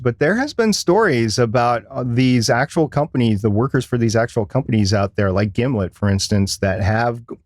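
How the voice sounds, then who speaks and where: A man's voice: mid-range voice, darkish tone, no room sound, clean studio sound. A man with an American accent talking through points casually as a podcast co-host. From United States.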